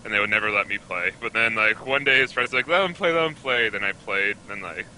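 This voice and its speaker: A man's voice: fairly low pitch, fast talker, pushed and bright, slight background noise, poor audio. A young man from Canada, a guest player, talking in a laid-back way with many fillers about how he got into gaming. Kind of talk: casual interview chat.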